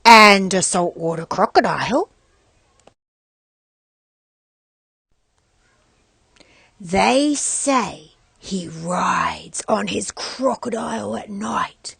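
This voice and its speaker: An excitable child spreading spooky rumours, voiced by a woman storyteller. The delivery is dramatic and ominous, swooping in pitch with a husky edge, as in a storybook. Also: slow, no echo, full character voice. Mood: spooky, alarmed. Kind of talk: read-aloud children's storybook.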